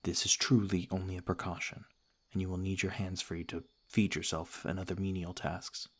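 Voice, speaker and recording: A man playing a courteous, cautious noble vampire lord in an ASMR roleplay, speaking in a formal, gentle, measured way. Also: low-pitched, little pitch movement. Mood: calm, guarded courtesy.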